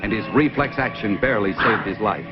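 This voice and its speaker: A man with a fairly low voice narrates a radio adventure with measured drama. Music plays clearly behind him on a narrow, vintage recording. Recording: old band-limited radio recording, music.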